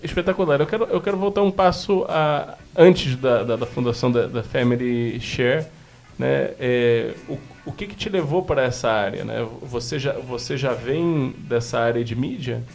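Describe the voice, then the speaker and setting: A man's voice: medium pitch, normal speed, vocal fry, darkish tone, soft background music. A man with a Brazilian accent, asking questions conversationally as an interviewer.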